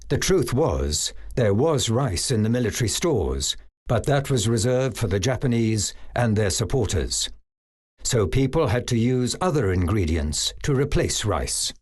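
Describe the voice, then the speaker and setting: A man delivering scripted documentary narration in a measured, even way. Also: mid-range voice, slightly rough.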